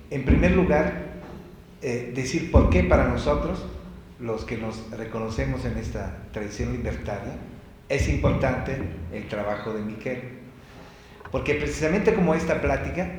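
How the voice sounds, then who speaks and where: A man's voice: mid-range voice, darkish tone. A man from Italy with an Italian accent giving a public talk in Spanish, often restarting and repeating words. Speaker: co-speaker at a talk. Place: library event room. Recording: very echoey room, faint background noise.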